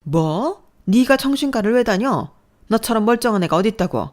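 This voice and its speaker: A woman voicing a domineering, furious middle-aged mother-in-law who shouts and scolds harshly. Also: a little high, animated, normal speed, pitched above the performer's own voice, natural voice.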